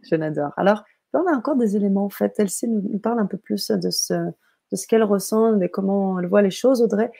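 Gravelly, dark-voiced woman host. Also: medium pitch, fairly quick, no room sound, studio quality. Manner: warm, enthusiastic, hesitant filler-heavy reading. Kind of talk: hosting a live stream, reading viewer comments.

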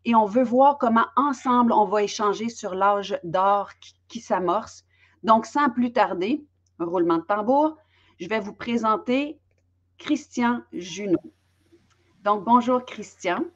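Woman host, hesitant and restarting a lot, medium pitch, slightly muffled audio. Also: a little slow. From Belgium. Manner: hesitant, many fillers and restarts. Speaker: host and interviewer. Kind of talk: introducing an online interview.

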